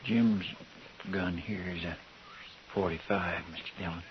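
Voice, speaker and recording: An actor playing an earnest, folksy and deferential deputy in an old radio western. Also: male voice, sits low, fairly even intonation, a little slow. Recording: dull, distant, hissy radio recording.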